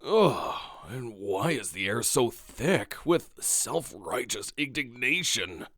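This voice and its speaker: A man's voice: medium pitch, big pitch swings, slow, fairly bright tone, no echo, studio quality, full character voice. Man acting a haughty, wildly swooping god. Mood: disgusted.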